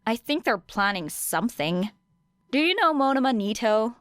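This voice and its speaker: A woman's voice: on the high side, big pitch swings, moderate pace, fairly bright tone, clean tone, dry recording, voice pushed up. A woman voicing a brash, teasing teenage girl student with blunt, sarcastic delivery in an audiobook. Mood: scheming. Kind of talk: audiobook character dialogue.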